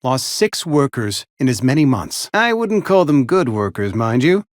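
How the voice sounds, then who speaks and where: An actor playing a fussy, mournful, company-loyal frontier barber and undertaker in a video game, speaking in formal, wordy, over-polite phrases. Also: male voice, medium pitch, very animated, moderate pace, dry recording, studio quality, natural voice. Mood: earnest, stoic. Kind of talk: theatrical video game character acting.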